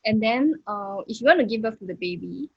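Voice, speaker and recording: A woman's voice: on the high side, animated, a little fast, breathy edge, no echo, lo-fi sound. A woman in her twenties with a Singaporean accent, speaking carefully with many fillers as a panel guest. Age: 20s. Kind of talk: casual panel discussion.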